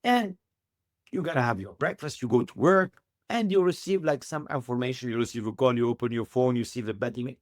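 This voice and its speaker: Man, middle-aged, halting upbeat English, dry close sound. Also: medium pitch, no room sound. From Tunisia. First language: Arabic. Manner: halting non-native English, earnest and upbeat. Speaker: guest. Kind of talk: interview answers.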